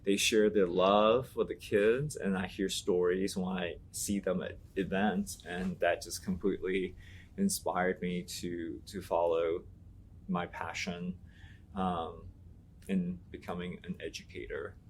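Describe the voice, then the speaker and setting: A man from Taiwan whose first language is Mandarin speaks in a low voice, answering like a teacher who means every word. He circles back, restarts and leans on little fillers as he thinks. A faint background noise sits under the line. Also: steady tone, unhurried. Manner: earnest, thinking aloud with many "you know" fillers and restarts. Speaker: Latin teacher, interview guest. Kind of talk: reflective interview answers.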